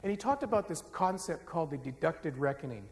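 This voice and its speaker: A middle-aged man gives a public lecture on stage in a large dark auditorium, speaking into a podium microphone in a conversational, self-deprecating way. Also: fairly high pitch, expressive, a little fast. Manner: conversational, self-deprecating, gets the audience singing. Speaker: forum speaker. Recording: reverberant hall with faint hiss. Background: live audience.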